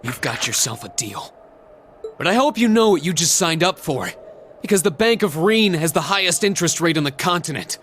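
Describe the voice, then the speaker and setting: An actor playing an earnest, stubborn young student hero and knight pilot in a video game cutscene. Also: male voice, fairly high pitch, sing-song, fairly quick, fairly bright tone, a little hiss or hum. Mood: determined. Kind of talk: English dub video game cutscene acting. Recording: roomy echo, faint music behind.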